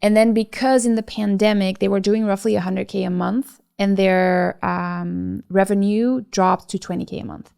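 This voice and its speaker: A woman's voice: medium pitch, fairly even intonation, moderate pace, gravelly, few pauses, darkish tone, clear, resonant tone. A woman co-hosting a business podcast, reading scripted narration and then chatting casually with plenty of fillers. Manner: chatty, many fillers in conversation. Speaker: podcast co-host.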